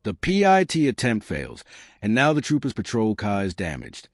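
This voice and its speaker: A man's voice: fairly low pitch, wildly swooping pitch, moderate pace, no echo, studio quality. A man narrating police footage from a script in a grave, emphatic, dramatic true-crime style.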